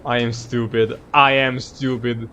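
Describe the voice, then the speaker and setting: A man livestreaming a game with casual, rambling, halting and self-mocking commentary. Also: mid-range voice, little pitch movement, pushed and bright, slight background noise. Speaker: game streamer.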